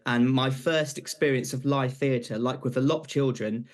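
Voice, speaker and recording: A young British man answering questions as a guest on live television, earnest and slightly halting. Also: medium pitch, fairly even intonation. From Britain. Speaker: interview guest, pantomime graduate.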